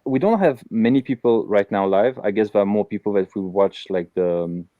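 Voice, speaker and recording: A man's voice: fairly low pitch, a little fast, no room sound, phone-quality sound. A man from Belgium hosting a casual live interview, talking with many fillers and restarts. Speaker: host.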